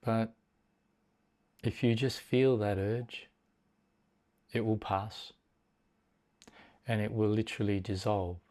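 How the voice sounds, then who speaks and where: A man with a fairly low voice teaches guided meditation. He speaks very slowly and deliberately. Short phrases are set apart by long, measured pauses. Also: little pitch movement, darkish tone.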